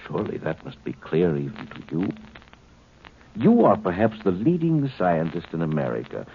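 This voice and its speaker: An actor playing a cold, superior alien being in a human body, speaking precisely and with detachment, in an old 1950s radio drama. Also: male voice, low voice, huge pitch swings, normal speed, heavily acted. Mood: coldly superior. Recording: dull old radio broadcast with faint hiss.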